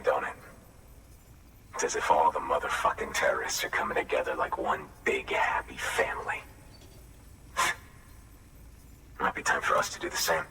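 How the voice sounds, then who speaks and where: An actor playing a gruff, wary middle-aged survivalist weapon maker in a video game, with a gravelly voice and a folksy, blunt drawl. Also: male voice, rumbling low. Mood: reflective, warming. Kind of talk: video game cutscene acting. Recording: slightly distant mic, faint background noise.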